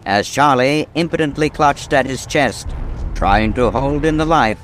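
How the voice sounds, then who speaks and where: An actor playing a dramatic narrator of a detective radio mystery, reading the scripted prose solemnly. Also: male voice, mid-range voice, a little slow, fairly bright tone, slight background noise, close to an everyday voice. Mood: grave.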